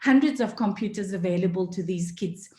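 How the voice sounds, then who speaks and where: Earnest woman forum speaker, mellow tone, fillers, echoey room. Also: mid-range voice, a little fast. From South Africa. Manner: earnest, many fillers, emotional at times. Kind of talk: passionate talk. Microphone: video call.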